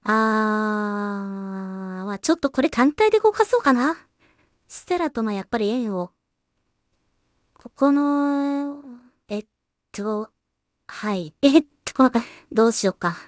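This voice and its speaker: Higher-pitched woman musing aloud as she works. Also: no room sound, clean studio sound. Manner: unhurried musing with trailing, self-directed remarks. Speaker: solo animation creator and streamer. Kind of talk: casual work-along commentary, thinking aloud.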